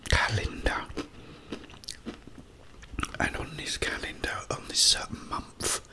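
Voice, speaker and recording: Slow whispering man. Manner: hushed, unhurried, halting with pauses. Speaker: ASMR creator. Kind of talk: ASMR whispered personal storytelling.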